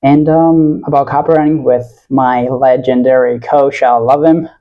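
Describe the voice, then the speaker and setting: A man's voice: medium pitch, steady tone, a little slow, narrow, tinny audio. A young man with an American accent talking casually and earnestly to his viewers in a rambling, self-correcting monologue. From Italy. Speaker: YouTube vlogger.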